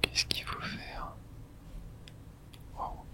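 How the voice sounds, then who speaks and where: A person whispering informal ASMR commentary while playing a video game, muttering reactions with some mild swearing in a breathy, unvoiced voice. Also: very slow, sharp tone. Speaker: gamer streaming playthrough for viewers.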